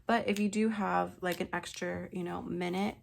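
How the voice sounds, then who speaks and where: A young woman with a raspy, congested voice from a sinus infection, chatting casually through a quick makeup tutorial with lots of fillers. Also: lowish voice, steady tone, a little hiss or hum. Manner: chatty, rambling with many fillers. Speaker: beauty vlogger.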